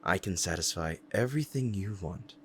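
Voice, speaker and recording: A man voicing a powerful, possessive and devoted demon in an intense, deliberate ASMR roleplay monologue. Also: low-pitched, expressive, fairly bright tone, a little hiss or hum. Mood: possessive, commanding. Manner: intense, deliberate, dramatic pauses.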